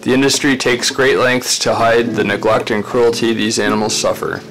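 A man working as an animal rights activist reads a scripted voice-over, earnest and measured, laying out his points in short listed phrases. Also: mid-range voice, steady tone, low-quality recording. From Ontario, Canada. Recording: voice-over with faint music behind. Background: faint guitar music.

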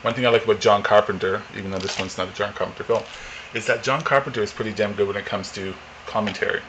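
Man in his 40s, low flat voice, rambling, faint hiss, home camera mic. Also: fast. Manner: rambling, many fillers and restarts. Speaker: vlogger. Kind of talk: casual unboxing chat. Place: home living room, indoors.

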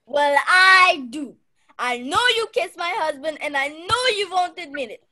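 A woman's voice: high-pitched, expressive, unhurried, sharp tone, full character voice. A woman acting a jealous, melodramatic wife who speaks accusingly in a mystery radio play.

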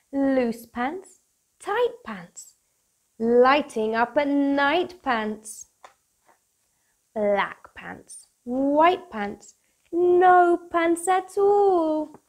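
A British woman in her thirties presenting for young children in a playful, expressive, sing-song manner, as if hosting a picture-book club. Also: high voice. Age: 30s. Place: small room at home, indoors. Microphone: lapel mic.

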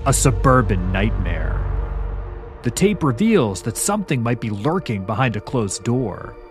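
A man delivers scripted horror narration as a host. His pitch moves in a lively, expressive way, giving the storytelling an ominous, dramatic feel. Music plays clearly underneath his voice. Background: background music.

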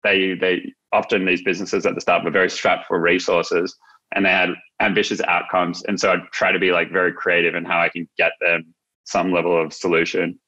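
Very deep-voiced young Australian man. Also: flat delivery, a little fast, bright, forceful tone. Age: young adult. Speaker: interview guest, marketing agency founder. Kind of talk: casual podcast interview, reflective storytelling. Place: indoors, small office room, on a video call. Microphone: video call, no headset seen. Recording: slightly dull video-call audio.

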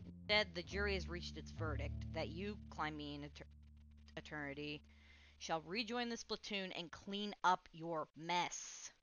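A woman's voice: low voice, unhurried, fairly bright tone. A woman voicing a stern, bitter young squid-girl captain in a dramatic comic dub. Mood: cold, stern. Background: music.

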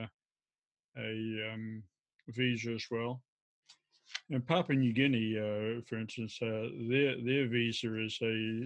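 An older man, explaining informally to camera in a halting, hesitant way. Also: medium pitch, no echo. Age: older, around 70s. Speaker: amateur radio vlogger. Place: indoors at a desk.

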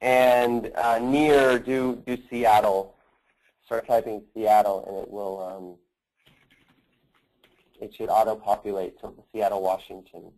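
A man giving a product demo on a conference call as a company representative, often pausing mid-phrase. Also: lowish voice, level tone, darkish tone, rough recording.

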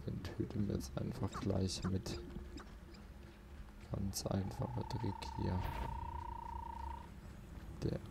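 Deep-voiced, gravelly man muttering over a game. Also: flat delivery, slow, long pauses. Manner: grumbling, muttering to himself, mild swearing. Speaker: let's player. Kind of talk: casual gameplay commentary. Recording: noisy, game sound behind voice. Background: video game ambience.

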